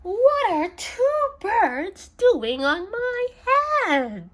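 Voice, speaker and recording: A woman's voice: very high-pitched, big pitch swings, very slow, voice pushed up, heavily acted. A woman voicing an anxious, easily exasperated elephant from a children's picture book, with dramatic, wailing questions and exclamations. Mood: bewildered. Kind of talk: read-aloud children's storybook.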